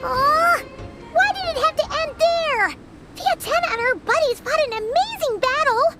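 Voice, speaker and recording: A woman voicing an excitable, tiny childlike fairy companion in a video game, with a squeaky, exclamatory delivery. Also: moderate pace. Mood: excited, eager. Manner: refers to self in third person, exclamatory. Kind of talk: video game character acting. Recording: loud game music behind.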